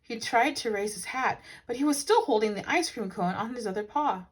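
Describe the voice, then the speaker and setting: A woman teacher reads a storybook to children. Her delivery is expressive and animated, leaning into dramatic emphasis as the tale unfolds. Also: fairly high pitch, brisk, slightly rough. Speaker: teacher reading a children's book aloud. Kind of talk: expressive storybook read-aloud.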